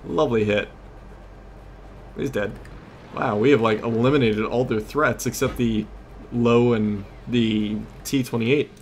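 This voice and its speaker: A man's voice: mid-range voice, fairly even intonation, a little slow, pauses often, a little hiss or hum. A man giving casual, rambling gameplay commentary as host of a gaming series, often losing his train of thought.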